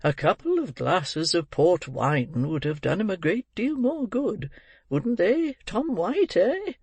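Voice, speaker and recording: Storybook old gentleman played by a man: kind-hearted, brisk, fussily emphatic, dry close sound. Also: high-pitched, big pitch swings, husky, no echo, voice pushed up, full character voice. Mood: brisk, puzzled. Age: older. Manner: emphatic, repetitive, questioning with "eh?".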